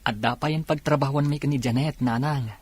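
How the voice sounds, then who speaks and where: A man's voice: medium pitch, steady tone, a little fast, a little mellow, husky, lightly acted. A man playing a grateful eldest son, a young new policeman, in an Ilocano radio drama. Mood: earnest, teasing. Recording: music under the voice.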